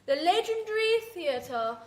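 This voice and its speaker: An actor playing a prim, arch British horror hostess, theatrically addressing listeners with macabre mock sympathy in a classic radio show. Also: female voice, extremely high pitch, very animated, unhurried, heavily acted. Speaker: host. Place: theatre venue in front of an audience. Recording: roomy live stage recording.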